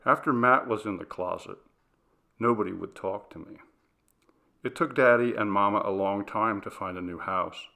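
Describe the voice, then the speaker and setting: A man narrates a story with a low, gravelly voice and a bright, forceful edge. His reading is dramatic and scripted, told in the first person. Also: normal speed. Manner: dramatic, acts out dialogue with shifts of pitch and volume. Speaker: story reader.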